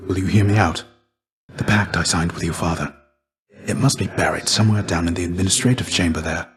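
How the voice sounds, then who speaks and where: A deep male voice for a video game character, performed by an actor: a fallen Greek warrior, stoic and weary. He speaks low, in measured, formal phrases. There is a restrained, gentle gravity to him, like a fatherly mentor. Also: fairly even intonation, natural voice. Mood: earnest, hopeful.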